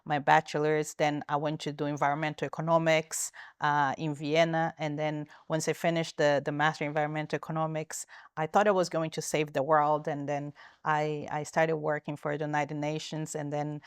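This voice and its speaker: Woman, low voice, smooth and clear, dry close sound. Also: little pitch movement, moderate pace, no echo. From Brazil. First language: Portuguese. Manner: thoughtful, frequent restarts and fillers. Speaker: company founder and guest. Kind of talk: interview answers.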